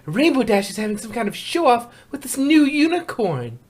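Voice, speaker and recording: A woman's voice: fairly high pitch, big pitch swings, moderate pace, darkish tone. Lady doing a bubbly cartoon girl pony, chirpy and pushy, voice bouncing all over. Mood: excited. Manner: eager, emphatic, chirpy.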